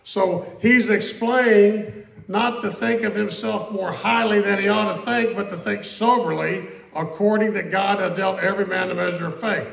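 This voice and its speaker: A pastor preaching a conversational sermon in a church, asking questions, repeating them and quoting scripture slowly. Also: male voice, sits high, steady tone, vocal fry, fairly bright tone. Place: church sanctuary. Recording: echoey church room.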